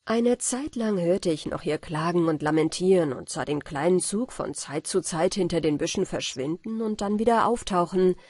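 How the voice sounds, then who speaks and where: A woman narrating a bedtime fairy tale audiobook in a gentle, measured storytelling manner. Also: on the low side, animated, husky, no room sound, studio quality.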